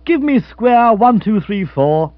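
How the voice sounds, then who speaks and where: A man's voice: sits high, sing-song, takes it slowly, no echo, dull audio, voice pushed up, heavily acted. A man voicing a dim, self-absorbed chap, for an audiobook. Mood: listless, fussed. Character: dim, moody upper-class English chap, blithely self-absorbed.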